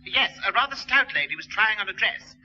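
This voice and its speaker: An actor playing a prim, hapless gentleman suitor with a fussy, formal manner, in a 1960s radio sitcom. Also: sits high, expressive, brisk, heavily acted. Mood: flustered, earnest. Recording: muffled old radio with faint noise.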